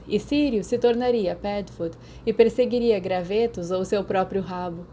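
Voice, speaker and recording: A woman with a Brazilian accent reads scripted fiction as an audiobook narrator. Her voice sits at a medium pitch. There is faint noise in the recording. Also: dark tone.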